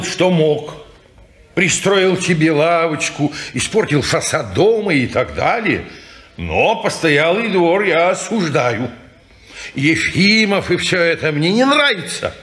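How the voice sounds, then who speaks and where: An actor playing a stern old landlord in an old radio play, grumbling and lecturing. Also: male voice, a little high, big pitch swings, takes it slowly, a bit bright, heavily acted. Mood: disapproving. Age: older. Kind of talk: 1950s radio play acting.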